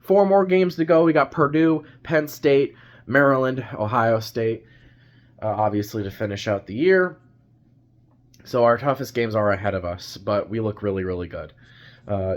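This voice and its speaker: A young man talks through a game recap in a casual, rambling way, his voice stuffy from a cold. His pitch sits right in the middle for a man. He stumbles and restarts often, scattering fillers through his thoughts. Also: measured pace. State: congested, has a cold. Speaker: sports fan vlogger.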